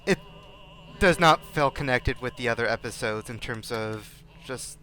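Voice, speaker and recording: A man on a discussion panel chatting casually in a halting way, often repeating and breaking off words. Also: medium pitch, sharp tone. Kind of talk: casual chat. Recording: music playing behind the voice.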